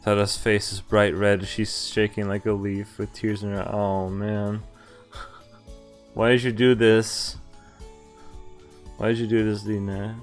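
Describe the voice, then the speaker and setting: A man's voice: lowish voice, level tone, takes it slowly, pauses often. A man live-streaming a visual novel, reading it aloud and breaking into informal, sweary reactions. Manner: informal, sweary asides, stumbles over read lines. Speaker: game streamer. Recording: game music behind the voice. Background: visual novel music and voiced characters.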